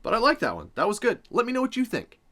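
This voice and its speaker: A man's voice: extremely high pitch, huge pitch swings, quick pace, pushed and bright. A man giving casual reaction commentary as the host, informal and approving, often repeating his points.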